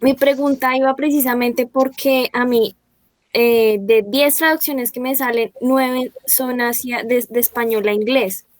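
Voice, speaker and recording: A woman's voice: a little high, fairly even intonation, measured pace, no echo. A woman translator adding a chatty, reflective comment in a class discussion over a video call. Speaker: participant translator.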